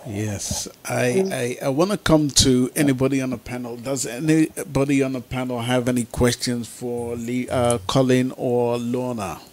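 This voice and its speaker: A man's voice: medium pitch, fairly even intonation, normal speed, few pauses, a little mellow, slight background noise. A man hosting a radio talk show panel, addressing his guests.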